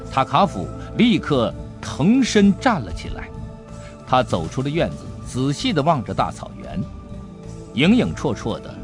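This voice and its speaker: A man narrating an audiobook adventure story in an expressive, dramatic storytelling manner. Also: mid-range voice.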